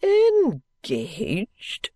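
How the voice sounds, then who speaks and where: A reader voicing a pompous, self-admiring elderly gentleman in an audiobook, with florid, grandiose delivery and theatrical airs. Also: mid-range voice, very animated, takes it slowly, dry recording, voice pushed up. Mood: aghast, wounded. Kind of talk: audiobook character voice.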